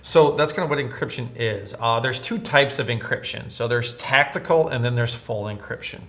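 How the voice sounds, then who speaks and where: A man's voice: mid-range voice, normal speed, fairly bright tone. An American man with a mid-range voice co-hosts an explainer video. He talks casually and conversationally, circling back over his points to stress them. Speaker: co-host, police scanner seller.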